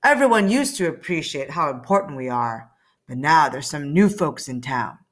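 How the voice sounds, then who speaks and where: A woman's voice: sits low, expressive, moderate pace, pitched below the performer's own voice. A woman voicing a weary, aggrieved, grumbling computer character in a children's storybook read-aloud.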